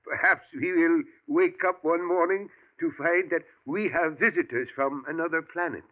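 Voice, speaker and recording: An actor playing an elderly male professor, a thoughtful scientist who talks in a measured way and chuckles often, in a 1940s radio drama. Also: fairly high pitch, lightly acted. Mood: musing. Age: older. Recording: tinny lo-fi old radio broadcast.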